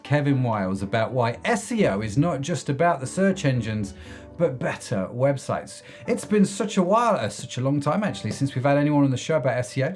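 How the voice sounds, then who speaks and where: Upbeat man hosting a podcast. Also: a little high. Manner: upbeat, enthusiastic, laughs readily. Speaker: podcast host. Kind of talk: scripted intro then casual interview chat.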